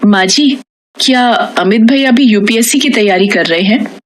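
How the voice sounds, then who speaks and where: A woman's voice: fairly high pitch, unhurried, noisy background, close to an everyday voice. A woman playing a poor, resolute young housemaid in a moral story, speaking earnestly. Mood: polite, hopeful. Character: poor, determined young housemaid with a collector's dream.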